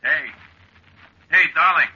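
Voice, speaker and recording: An actor in a vintage radio drama playing a tiny, excitable, flustered little man with a raspy, piping voice. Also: on the high side, takes it slowly. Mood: urgent, flustered. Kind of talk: 1940s radio drama acting. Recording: muffled old radio recording.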